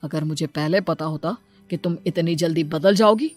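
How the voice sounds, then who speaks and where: गंभीर, बच्चों का रक्षक पिता महिला के अभिनय में. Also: female voice, low-pitched, normal speed, darkish tone, soft background music, pitched below the performer's own voice. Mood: reproachful. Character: earnest, protective young father in a cartoon story.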